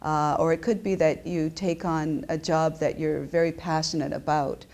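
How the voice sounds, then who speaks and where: Woman professor, low voice, grew up in japan, explaining research, seated interview in an office, restarts and fillers. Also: fairly even intonation, moderate pace, a little mellow. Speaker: business school professor. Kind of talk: interview answers. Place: indoor office.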